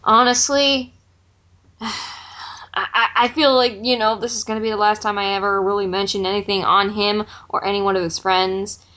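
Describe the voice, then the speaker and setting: A woman's voice: medium pitch, little pitch movement, normal speed, pushed and bright. A woman giving blunt, exasperated critique in a casual group conversation. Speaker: online video commentator.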